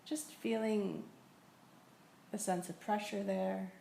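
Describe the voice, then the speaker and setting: A woman teaching a guided meditation, speaking in a gentle, unhurried way with long pauses. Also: mid-range voice, fairly even intonation, creaky. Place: indoor room in a building. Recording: echoey room with faint noise.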